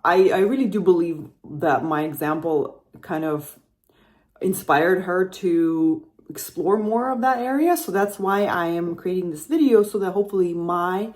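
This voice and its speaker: A woman with a somewhat low-pitched voice tells her own story as a podcast host. She talks in a loose, chatty way and often stops to correct herself. She grew up in Russia. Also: a little slow, a little mellow. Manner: chatty, rambling, frequent self-corrections. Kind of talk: personal storytelling monologue.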